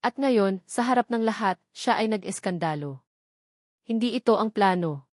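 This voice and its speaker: A woman's voice: mid-range voice, lively intonation, normal speed, darkish tone, no echo. Filipino woman narrating drama, dry close sound. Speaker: narrator of a romance audio story.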